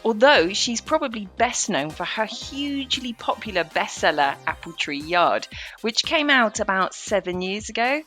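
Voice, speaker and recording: A woman's voice: mid-range voice, big pitch swings, normal speed, sharp tone, soft background music. A British woman co-hosting a podcast in a chatty but scripted presenting style. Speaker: podcast co-host.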